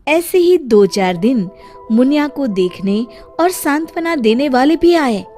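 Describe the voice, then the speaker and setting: High-voiced, very animated woman storyteller. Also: unhurried. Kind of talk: scripted, expressive moral-story narration. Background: faint music.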